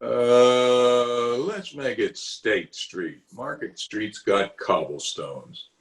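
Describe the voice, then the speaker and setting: A man's voice: mid-range voice, drawn-out words. A man playing a blustering, boastful middle-aged town official in an old-time radio comedy, theatrical in manner. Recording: roomy video-call recording.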